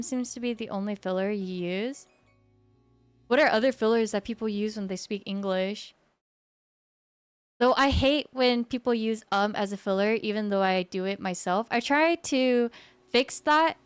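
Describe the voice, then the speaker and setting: A woman's voice: on the high side, clean tone, dry recording. A young woman in her twenties, chatting casually on a live stream in a laid-back way, reading chat aloud.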